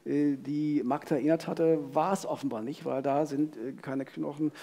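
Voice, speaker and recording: A man's voice: on the high side, steady tone, normal speed, dark tone. A middle-aged man co-hosting a live true-crime talk, phrasing questions in a searching, self-correcting way. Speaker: co-host. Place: vaulted venue, live audience on stage. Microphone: headset.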